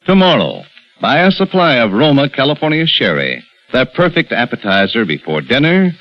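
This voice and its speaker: A man's voice: mid-range voice, sing-song, moderate pace. Man, vintage ad announcer, persuasive and very melodic, lo-fi sound. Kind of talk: sponsor commercial read. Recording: dull old radio broadcast with faint music.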